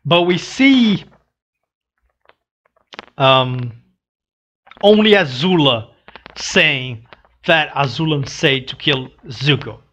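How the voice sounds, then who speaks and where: A young man who is not a native English speaker, giving casual reaction commentary in a halting, hesitant way into a headset mic. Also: fairly high pitch, expressive, fairly bright tone. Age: young adult. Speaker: reaction channel host. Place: small room indoors. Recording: dull lo-fi headset audio.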